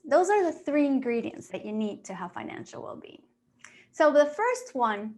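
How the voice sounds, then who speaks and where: Woman, financial planner; encouraging, upbeat, slightly high, on a video call. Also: creaky. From Peru. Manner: upbeat, encouraging, with fillers and restarts. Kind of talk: webinar presentation.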